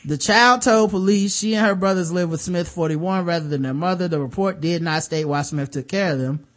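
Male podcast host, light higher voice; reads news aloud, dry sarcastic cutaways. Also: brisk. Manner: wry, sarcastic asides between read-out passages. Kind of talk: casual chat, reading news stories aloud.

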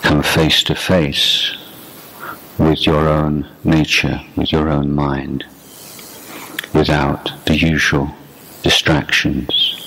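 A man with an extremely low voice leads a meditation practice. He speaks slowly and deliberately, leaving long pauses between short phrases. His delivery stays very even in pitch, like unscripted instruction from a teacher. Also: rough recording. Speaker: meditation teacher, Buddhist monk. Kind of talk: guided meditation instruction, unscripted dharma talk.

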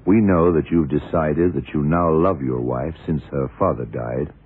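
An actor playing a blunt, dry police detective in a 1970s radio crime drama, questioning in a clipped, matter-of-fact way. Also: male voice, a bass voice, moderate pace, slightly rough. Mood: cool, confronting. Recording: tinny, band-limited old radio recording.

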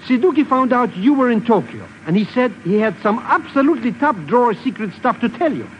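High-voiced, glib American hustler. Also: male voice, very animated, fairly quick. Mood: wheedling. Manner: slangy, ingratiating. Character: wheedling, glib male American small-time hustler. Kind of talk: 1950s radio drama acting. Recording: muffled, noisy old radio recording.

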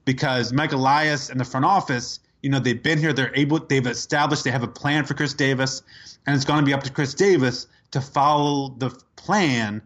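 A man co-hosting a podcast, telling a sports story in casual chat, incredulous and quick to laugh. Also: medium pitch, fairly bright tone. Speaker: podcast co-host.

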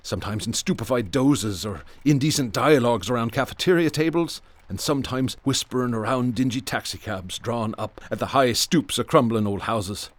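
A man's voice: medium pitch, normal speed, few pauses, dry recording, close to an everyday voice. A man playing a brooding, bookish Irish police detective who speaks in ornate, literary phrases in a radio drama. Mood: haunted.

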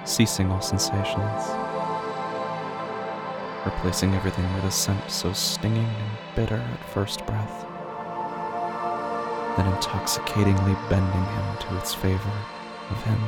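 A man with a low, heavily creaky voice narrates horror fiction. His delivery is solemn and poetic, each phrase placed deliberately, with music strong behind him. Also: level tone. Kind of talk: scripted literary horror narration.

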